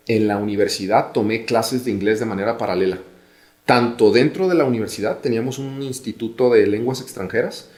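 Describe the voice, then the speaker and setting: Mexican guy explaining career stuff, calm, repeats himself, roomy echo. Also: mid-range voice. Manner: measured, explanatory, repeats phrases for emphasis. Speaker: guest. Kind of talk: interview, career advice.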